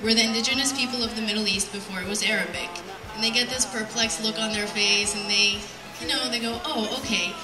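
A young adult woman giving an earnest, measured prepared speech at a podium in an event hall, reading from notes. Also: on the high side, steady tone. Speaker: speaker at a community event. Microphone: handheld mic on PA, picked up by distant camera. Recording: roomy, distant sound with music.

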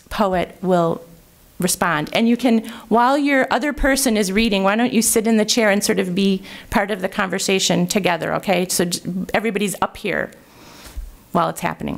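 Gravelly-voiced older woman hosting an event. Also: medium pitch. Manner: friendly, chatty, informal. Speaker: event host. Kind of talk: informal introductions at a community event. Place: library meeting room. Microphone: lectern microphone.

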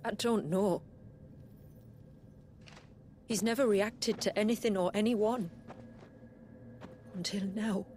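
An actress playing a grave village woman who recounts her people's tragic past in a measured, formal, earnest way for a video game. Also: medium pitch, fairly even intonation, a little mellow, slight background noise, natural voice. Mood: troubled, earnest. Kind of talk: solemn scripted storytelling in video game drama.

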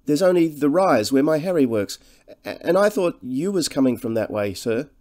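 A man's voice: fairly high pitch, normal speed, pitched above the performer's own voice, lightly acted. A man voicing a fretful, humble working-class English countrywoman, deferential and hesitant, as in an audiobook. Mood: fretful, preoccupied. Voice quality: monotonous.

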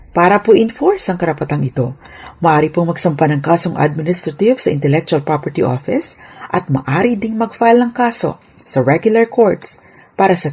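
A woman hosts a legal segment with a mellow, relaxed tone and a husky edge to her slightly low voice. She reads prepared explanations formally and politely, instructing listeners and slipping English terms into her speech. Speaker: lawyer and radio legal segment host.

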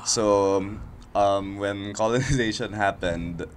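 A young man from Baguio in the Philippines with a Filipino accent, a podcast guest talking in a relaxed, thoughtful way and often hedging with "like" and "um". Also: lowish voice, slow pace, a little hiss or hum. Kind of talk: casual chat. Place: studio room full of gear and shelves. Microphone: handheld mic on a stand.

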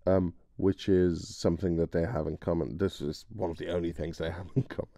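A man's voice: sits low, steady tone, fairly quick, mellow, dry recording, clean studio sound. A man co-hosting a podcast, giving a casual introduction. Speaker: podcast co-host and sound designer.

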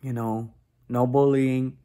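A young man with a raspy voice talking casually to his phone camera as a vlogger, first yelling at a hockey game and later talking quietly at home. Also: medium pitch, slow pace. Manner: excited shouting at a hockey game. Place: indoor arena crowd, then outdoors at night, then a couch at home. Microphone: phone camera held close.

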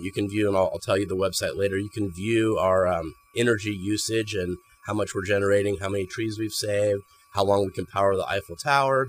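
A man's voice: fairly low pitch, a little hiss or hum. A man, a barbershop owner chatting casually in an interview inside a small shop, often repeating words as he thinks. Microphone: handheld mic held by interviewer.

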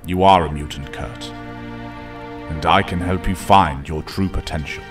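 An actor playing a calm, authoritative professor and mentor in an audio drama, speaking in a measured, formal way. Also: male voice, sits low, over music. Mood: calm authority.

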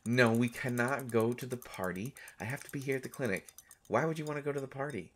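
A man voicing an affectionate, mischievous vampire doctor in an intimate romantic audio roleplay, speaking in a halting, teasing way. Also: mid-range voice, lightly acted. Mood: playfully exasperated. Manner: halting, teasing, soft-hearted speech. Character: gentle, mischievous male vampire doctor, centuries old, affectionate.

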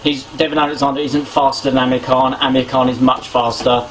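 A young British man giving casual sports opinions as a vlogger, haltingly thinking aloud, recorded in a home kitchen on a camera mic. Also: medium pitch, level tone, poor audio. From Britain. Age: young adult. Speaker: boxing vlogger. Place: small domestic kitchen indoors. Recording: clear background noise, homemade camera audio.

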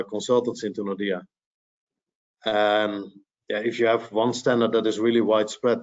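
Webinar presenter; fairly low male voice, steady with fillers, lo-fi video call sound. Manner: measured, with fillers. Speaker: presenter, bank employee. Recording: dull, lo-fi video call audio.

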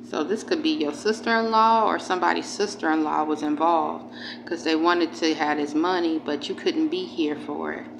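A woman with a low voice and a gravelly fry at the edges talks through a tarot reading. She is informal and emphatic, circling back over phrases as she addresses the viewer directly. Clear noise sits in the recording. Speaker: tarot reader, channel host. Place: indoors at a table. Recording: clearly noisy home recording.

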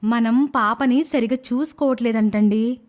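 A woman playing a worried, devoted young wife and mother in an animated moral story, fretful and earnest. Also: fairly high pitch, measured pace, close to an everyday voice. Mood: concerned.

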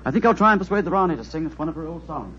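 An actor playing Sir Christopher, a snobbish English gentleman, in a 1940s radio drama, with a pompous upper-class manner. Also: on the high side, animated, racing, slight background noise. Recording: dull lo-fi old radio broadcast.

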